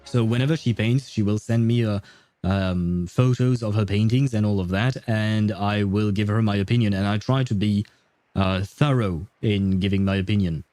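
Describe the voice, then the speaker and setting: A man's voice: fairly low pitch, fairly even intonation, normal speed, warm tone, dry recording. A man hosting a casual gaming livestream, chatting with viewers in a rambling, hesitant way. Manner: hesitant, rambling, chuckling often. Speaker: livestream host.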